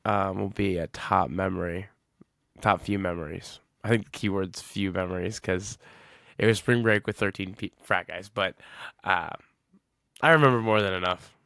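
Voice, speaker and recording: A man's voice: low-pitched, steady tone, normal speed, creaky, a bit bright, dry recording, studio quality. A man in his twenties hosting a solo podcast, talking casually and reflectively, rambling and often correcting himself. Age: 20s. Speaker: podcast host.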